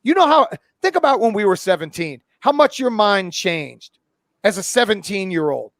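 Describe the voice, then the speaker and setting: A man's voice: high voice, sing-song, moderate pace, fairly bright tone, no echo, studio quality. A man in his forties talking sports casually as a pundit, blunt and opinionated, swearing freely. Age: 40s. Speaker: college football pundit and podcast guest.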